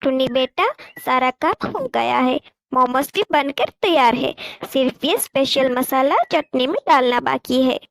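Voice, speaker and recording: A woman's voice: high voice, unhurried, vocal fry, fairly bright tone, dry recording, heavily acted. A woman voicing an earnest, motherly little bird in a cartoon. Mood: content. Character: hardworking, gentle mother sparrow, worried and earnest.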